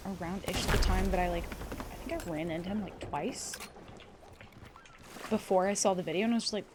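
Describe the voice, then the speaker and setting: A woman's voice: on the low side, a little fast, background noise. Chatty, swearing woman streamer. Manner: chatty, excitable, swears freely. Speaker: livestreamer. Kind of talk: casual gameplay commentary.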